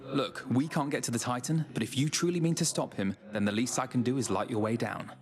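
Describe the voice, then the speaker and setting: A man's voice: medium pitch, brisk, close to an everyday voice. Friendly, assured young sun god, acted by a man. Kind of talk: scripted video game voice acting.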